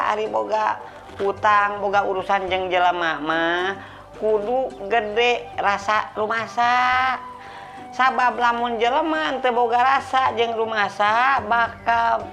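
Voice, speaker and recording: A man's voice: high-pitched, sharp tone, pitched above the performer's own voice, exaggerated voice. A man voicing a haughty, blunt older woman creditor in a Sundanese folk comedy story, with a Sundanese accent. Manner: scolding, blunt, coarse-worded. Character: haughty, sharp-tongued older woman creditor dunning debtors.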